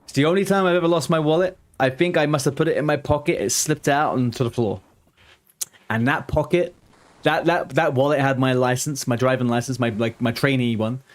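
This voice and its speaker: A man's voice: mid-range voice, brisk. A man in his thirties with a mild, worn-down London accent. He rambles in a loose, chatty way, answering viewers as a game streamer. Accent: softened London. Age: 30s. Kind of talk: casual live-stream chat.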